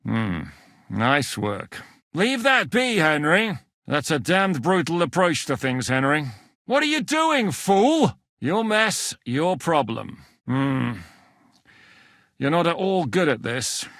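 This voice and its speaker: A man's voice: a little high, sing-song, slow pace, a bit bright, no echo, exaggerated voice. A man voicing a hardened warlord commander in a video game, blunt and weary, cursing freely. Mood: gruff. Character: hardened male warlord commander, gruff and wary. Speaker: game character voice lines. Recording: dry studio voice recording.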